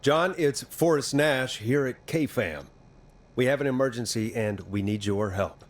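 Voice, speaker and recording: An actor playing a steady, reassuring but urgent radio host in a horror game drama. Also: male voice, medium pitch, unhurried. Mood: urgent, earnest. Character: calm, earnest male 1980s radio DJ in crisis.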